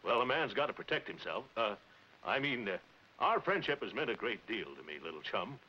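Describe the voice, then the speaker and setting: An actor playing a pompous, scheming middle-aged neighbor in a 1940s comedy, stammering and unctuous. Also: male voice, mid-range voice, big pitch swings, brisk, natural voice. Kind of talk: 1940s radio-style film comedy acting. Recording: muffled, hissy 1940s film soundtrack.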